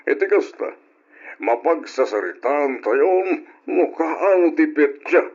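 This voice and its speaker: An actor playing a middle-aged father, a formal and insistent head of the family, speaking deliberately in an old radio drama on a lo-fi recording. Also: on the high side, animated, darkish tone, slightly rough, heavily acted. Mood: firm, formal.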